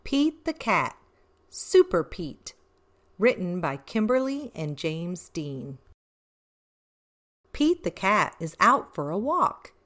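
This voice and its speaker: A woman reading a children's picture book aloud in an expressive, deliberate way with emphasis on short phrases. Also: on the low side. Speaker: read-aloud storyteller for children.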